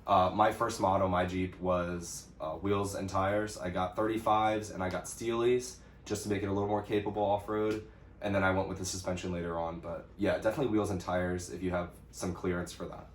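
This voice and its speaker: A man's voice: sits low, steady tone, a little fast. A young American man chatting casually as he answers questions for an off-road vlog, easygoing and a bit hesitant. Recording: roomy echo with some background noise.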